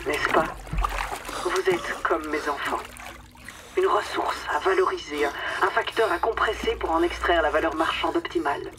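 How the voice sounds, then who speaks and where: A woman's voice: sits low, sing-song, normal speed. An actress playing a falsely affectionate, condescending woman boss in a darkly comic radio drama, with a theatrical, mockingly sweet manner. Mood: falsely cheerful. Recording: distant microphone, clear watery background noise.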